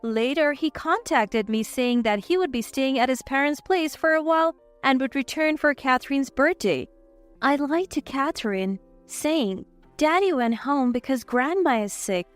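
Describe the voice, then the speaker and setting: A woman's voice: high voice, lively intonation, normal speed, faint music behind. A woman reading a scripted first-person story as narrator, in a dramatic, emotive way.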